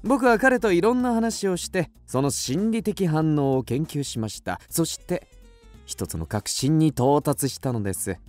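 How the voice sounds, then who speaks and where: An actor playing a cool, self-assured young detective in a radio drama, explaining things deliberately and theatrically. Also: male voice, on the high side, expressive, a little mellow, faint music behind. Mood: intense, revealing. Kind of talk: Japanese radio drama acting.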